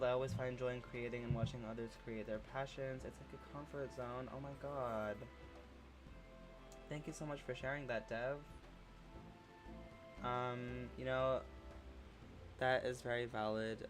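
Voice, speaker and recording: Guy hosting a chill livestream, laid-back and supportive, lots of ums, soft music in the back. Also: mid-range voice, moderate pace, long pauses. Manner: relaxed, supportive, with fillers and frequent laughs. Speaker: livestream host. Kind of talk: casual livestream chat reading chat messages.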